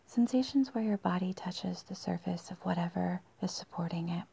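A woman leading a guided meditation in a soft, breathy, husky near-whisper with long pauses, in a dull, muffled recording. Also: fairly low pitch.

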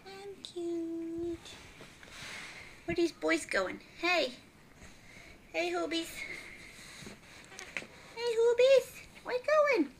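A woman's voice: extremely high pitch, a bit bright. A woman cooing in baby talk to puppies, drifting between sing-song calls and whispers, filmed indoors on a handheld phone held close, with a noisy recording. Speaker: dog breeder. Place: indoors at a puppy bed.

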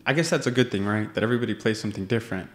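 A man's voice: lowish voice, very fast, echoey room, slight background noise. A man hosting a podcast in casual interview chat, friendly and dropping in Spanish phrases. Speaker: podcast host. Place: office room used as a podcast studio. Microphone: studio mic on a stand, headphones.

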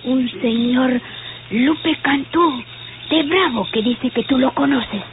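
An actress playing a doctor's timid, deferential wife in an old radio drama. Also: female voice, high voice, takes it slowly. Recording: tinny, noisy old radio recording.

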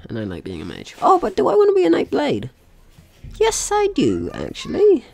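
A man's voice: very high-pitched, huge pitch swings, normal speed, gravelly, a little mellow. A man hosting a casual let's-play, talking playfully to the game's characters and swearing now and then. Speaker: gaming host.

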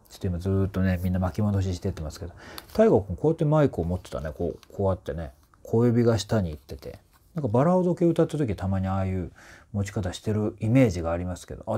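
Husky, animated man explaining casually. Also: lowish voice. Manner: animated, often sings short phrases to demonstrate. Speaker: voice trainer reviewing singers. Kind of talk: casual explanatory commentary to camera. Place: small indoor room with curtains.

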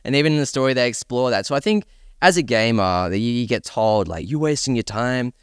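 A man's voice: medium pitch, lively intonation, fairly quick, smooth, no echo, studio quality. A man talking enthusiastically and emphatically as a panelist in a casual discussion. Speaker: podcast panelist discussing films and games.

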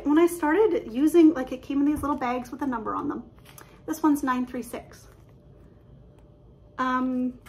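A woman, chatting excitedly and quickly about her craft projects from her living room, filled with "um"s and little laughs. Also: sits high, slight background noise. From Canada. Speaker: cross-stitch vlogger. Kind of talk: casual show-and-tell chat. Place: living room at home, indoors. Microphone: webcam at desk distance.

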